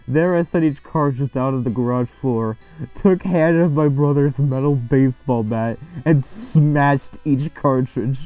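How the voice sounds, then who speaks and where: Man, horror story narrator, mid pitch, muffled recording, prominent eerie music. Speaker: narrator and host reading horror stories. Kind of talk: dramatic reading of a creepypasta, with casual reaction after. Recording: muffled, lo-fi, loud music behind. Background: eerie game music.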